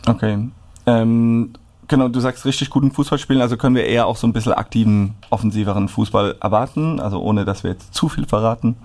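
Relaxed male club interviewer. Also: on the low side, fast. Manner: relaxed, loose questioning. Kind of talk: casual interview.

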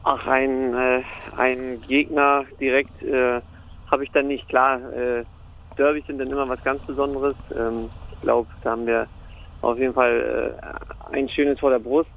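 A young man with a gravelly voice talks in a flat, even line. He answers interview questions about football, hesitating often and filling gaps with fillers. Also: medium pitch, measured pace, slight background noise, rough recording. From Germany (Hamburg). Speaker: football player.

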